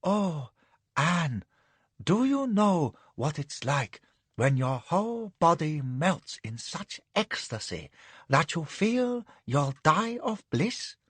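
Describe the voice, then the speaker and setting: Man doing a lazy purring concubine lady, sleepy and spoiled, soft breathy voice, slow. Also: on the high side, sing-song, no room sound, pitched above the performer's own voice, heavily acted. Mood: languid. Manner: drowsy, purring, capricious. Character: languid, cruel young imperial concubine.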